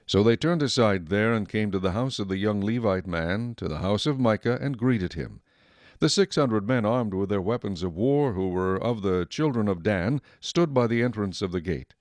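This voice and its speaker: A man reading scripted Bible narration in a measured, solemn storytelling manner. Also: fairly low pitch, expressive, darkish tone, dry recording, studio quality. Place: dry studio.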